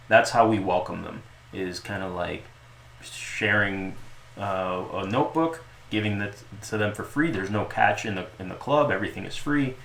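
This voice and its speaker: A man talking casually as an interview guest about the club he runs, relaxed and joking, with lots of restarts and fillers. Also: lowish voice, gravelly. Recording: echoey room with faint noise.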